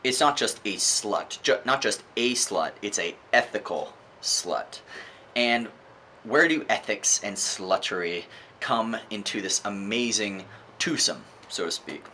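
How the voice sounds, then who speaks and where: Male vlogger, fairly low pitch, bright forceful edge; emphatic, conversational opinion delivery over faint background noise. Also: lively intonation, measured pace. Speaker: book reviewer, vlogger. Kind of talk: informal opinionated book review monologue.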